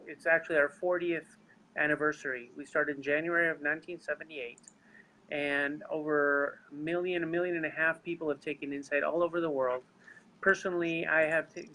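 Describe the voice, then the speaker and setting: A man's voice: a little high, bright, forceful tone, low-quality recording. A man with a non-native English accent, earnestly explaining his seminar work and speaking straight to viewers over a video call. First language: not English. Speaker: seminar leader. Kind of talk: live webinar talk.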